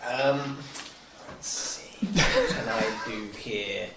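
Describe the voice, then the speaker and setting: A man thinking aloud in a deliberate way as a player in a casual tabletop role-playing game. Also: mid-range voice, vocal fry. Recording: echoey room, distant mic, faint noise.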